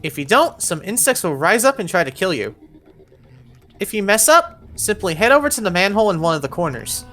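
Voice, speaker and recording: A woman's voice: medium pitch, big pitch swings, fairly quick, fairly bright tone. A woman narrating an instructional video game walkthrough, giving encouraging step-by-step directions.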